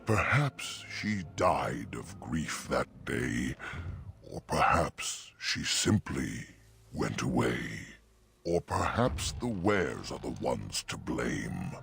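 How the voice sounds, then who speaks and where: An actor playing an ancient talking oak tree in a fantasy game, solemn and mournful, speaking in archaic rhyming verse with a gravelly voice. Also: male voice, very animated, slow pace, fairly bright tone, exaggerated voice. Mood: somber, reflective. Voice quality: deep, gravelly. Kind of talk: fantasy video game character acting.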